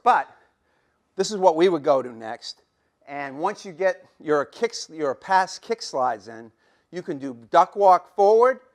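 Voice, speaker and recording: Middle-aged man, line coach at a clinic; folksy, repetitive, fairly high voice, hoarse. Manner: folksy, repetitive, demonstrating as he talks. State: losing his voice, coughing.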